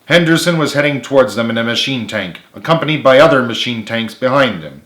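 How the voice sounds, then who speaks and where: A man's voice: medium pitch, animated, a little fast, fairly bright tone. A man reading a story aloud as a dramatic narrator in an echoey room. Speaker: author reading own book.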